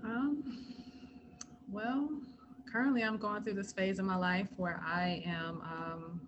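A woman guest on a podcast interview who has a naturally soft voice and speaks gently. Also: mid-range voice, steady tone, slow pace, lo-fi sound, noisy background. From United States. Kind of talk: casual interview chat.

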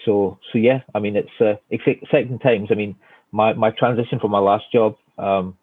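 A man's voice: on the low side, fairly even intonation, moderate pace, husky, no room sound. Hesitant Glaswegian man on a tinny line. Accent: Glaswegian Scottish. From Scotland. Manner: hesitant, many fillers and restarts. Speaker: guest architect. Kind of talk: casual interview answers. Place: home office at home. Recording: tinny phone-like line, dry.

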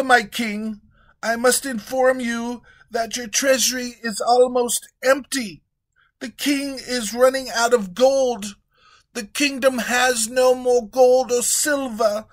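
A man's voice: very high voice, slow pace, pitched above the performer's own voice. A man voicing a meek, fussy royal treasurer delivering bad news.

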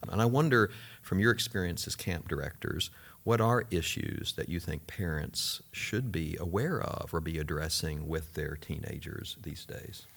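A man's voice: deep voice, animated, moderate pace, creaky, a bit bright, slightly rough. A man hosting a conversational interview, thoughtful and affirming, prompting his guests. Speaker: podcast host.

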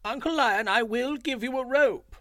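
A man voicing a sly, scheming male jackal who fakes concern in a high, fawning voice. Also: normal speed, no room sound. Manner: high wheedling, mock-concerned shouts.

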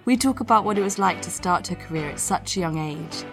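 Woman host, slightly low voice, upbeat scripted intro with a music bed underneath. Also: expressive, fairly quick. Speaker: podcast host.

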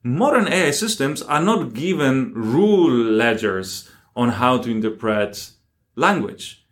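A man speaking as an academic guest in an interview, explaining things deliberately and with emphasis. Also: medium pitch, very animated.

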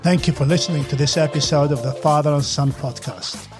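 A middle-aged man with a husky voice, chatting casually as a podcast host, halting with fillers. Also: fairly high pitch, over music. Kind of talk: casual chat.

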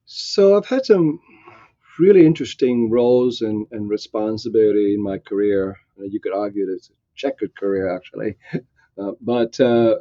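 A man's voice: lowish voice, normal speed, warm tone, dry recording, muffled recording. A man in his sixties who grew up in Vietnam, answering interview questions in a reflective way, with many fillers and restarts. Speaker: guest.

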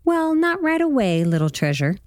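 A woman playing an affectionate, gently chiding wife and mother, a former nun, explaining patiently in a radio drama. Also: high voice, sing-song, normal speed, no room sound, clean studio sound. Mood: gently reassuring. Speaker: actor in an audio drama.

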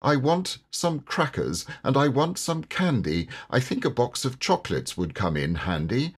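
A man's voice: medium pitch, sing-song, unhurried, slightly rough, pitched above the performer's own voice. A man voicing a lonely, hopeful, petulant storybook king with a plaintive, emphatic, childlike way of wishing. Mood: hopeful, pleading.

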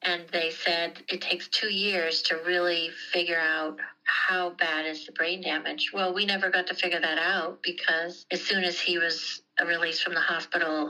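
A woman's voice: fairly low pitch, bright, forceful tone, low-quality recording. A middle-aged woman speaking candidly and reflectively in a conversational interview, repeating words for emphasis. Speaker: interviewee, mother of the story's subject.

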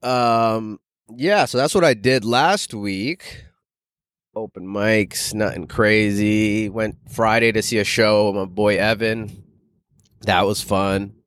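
A man's voice: fairly low pitch, slow pace, fairly bright tone, no echo, studio quality. A man hosting a solo podcast, rambling casually and loosely in informal, sweary language like an amateur comedian.

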